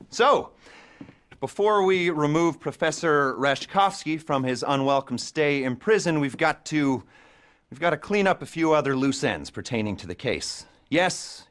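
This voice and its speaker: A middle-aged crime boss in a video game who lays out his plans with dry sarcasm. He stumbles over his own jokes and covers them with nervous chuckles, at an ordinary mid pitch. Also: fairly bright tone. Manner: awkward, self-mocking, laughs nervously. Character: nervous, sardonic male criminal mastermind. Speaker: heist planner briefing a crew. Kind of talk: video game cutscene dialogue.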